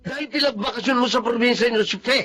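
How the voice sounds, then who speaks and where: A spoiled, domineering rich son, played by a man, hurling accusations at a servant in a dramatic audio story. His voice sits very high. Faint music plays behind him. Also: quick pace, bright, forceful tone, breathy edge, full character voice. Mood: accusing. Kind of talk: radio drama acting.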